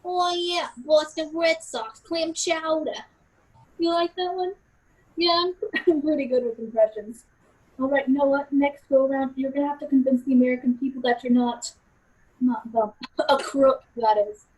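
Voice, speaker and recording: Woman as a brash high-voiced female agency boss. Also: normal speed, darkish tone, breathy edge, low-quality recording. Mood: breezy. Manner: sarcastic, scolding. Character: brash, foul-mouthed female secret service boss. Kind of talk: comedy script table read.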